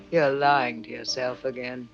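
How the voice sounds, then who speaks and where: A woman acting a lonely, bitter middle-aged mother in a halting, sighing dramatic monologue. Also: extremely low pitch, gravelly, muffled recording, full character voice.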